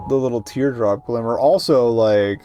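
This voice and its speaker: A man's voice: medium pitch, moderate pace, dark tone, a little hiss or hum. A man hosting a gaming video, giving casual playthrough commentary in a dry, wry manner. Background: game music.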